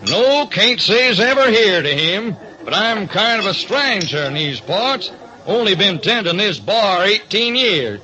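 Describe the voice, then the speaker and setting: A droll small-town Western bartender, high and bright, with a rustic American drawl. His lines swing up and down in radio drama style, on a slightly dull recording. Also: sing-song, measured pace. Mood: droll, helpful. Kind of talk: old-time radio detective drama. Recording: dull, band-limited recording.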